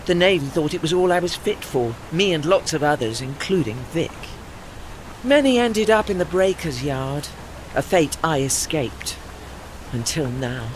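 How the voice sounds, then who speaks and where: A man in an audio play acting as a refined, melancholy old retired warship with gentle dignity and formal, genteel speech. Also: fairly high pitch, animated, a little slow, slight background noise, rough recording. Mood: wistful, resigned.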